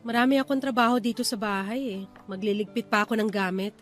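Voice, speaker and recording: A woman's voice: mid-range voice, fast talker, natural voice. A woman acting as a grieving, withdrawn wife in radio drama dialogue, speaking in short, subdued replies. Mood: withdrawn, listless. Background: music bed.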